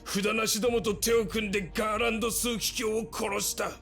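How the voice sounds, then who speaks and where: High, gravelly, accusing zealot. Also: male voice, flat delivery, very fast, fairly bright tone, soft background music. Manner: declamatory, accusatory. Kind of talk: Japanese video game voice acting.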